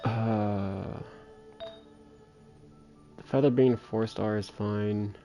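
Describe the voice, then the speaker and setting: A man's voice: on the low side, steady tone, extremely slow, warm tone, dull audio. A man livestreaming a video game, casually thinking aloud and muttering to himself as he plays. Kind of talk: casual solo gameplay commentary, thinking aloud. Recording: faint game music behind voice. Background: faint video game music.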